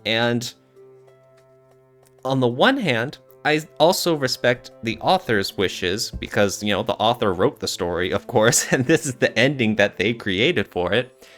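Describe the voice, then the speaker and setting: A man's voice: mid-range voice, lively intonation, a little slow, fairly bright tone, soft background music. A man co-hosting a podcast, talking casually in an earnest, reflective way with some hedging. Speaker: podcast co-host.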